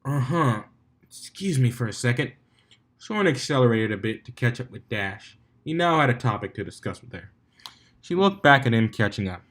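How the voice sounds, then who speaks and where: A man's voice: medium pitch, very animated, a little fast, a bit bright. A man reading fan fiction aloud as a narrator, now and then stumbling and rereading a phrase. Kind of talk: story reading with character voices.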